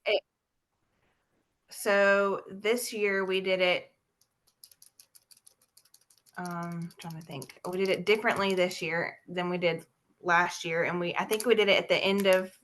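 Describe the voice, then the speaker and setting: A woman, a studio owner on a coaching call, who answers hesitantly and thinks aloud with pauses and ums. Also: lowish voice, steady tone, fairly bright tone. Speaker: dance studio owner, caller. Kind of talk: casual call with a business coach.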